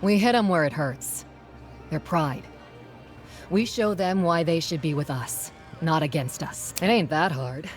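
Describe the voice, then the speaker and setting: An actress playing a spirited young rebel schoolteacher in a video game, plain-spoken, folksy and earnest. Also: female voice, sits low, a little slow, faint music behind. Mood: fervent. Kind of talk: video game character dialogue.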